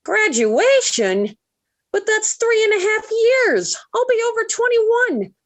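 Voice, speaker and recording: An actress playing a dramatic, lovestruck seventeen-year-old daughter, gushing and pleading in 1940s radio sitcom style. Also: female voice, extremely high pitch, sing-song, slow pace, exaggerated voice. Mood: hurt, dramatic.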